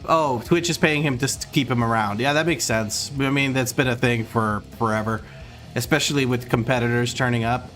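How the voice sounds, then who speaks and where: A man with an American accent chats loosely with his viewers while he plays, reading their messages aloud, stumbling over his words and starting sentences over. His voice sits at an ordinary middle pitch, with faint music playing behind him. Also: fairly quick. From Croatia. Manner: relaxed, rambling, reads chat aloud, stumbles and restarts. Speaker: streamer. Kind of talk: casual chat with viewers while gaming.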